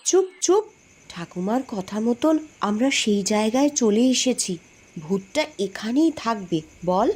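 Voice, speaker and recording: Earnest, high-voiced young village sister. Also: female voice, sing-song, a little slow, darkish tone, husky, exaggerated voice. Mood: determined, hushing. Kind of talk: Bengali cartoon voice acting.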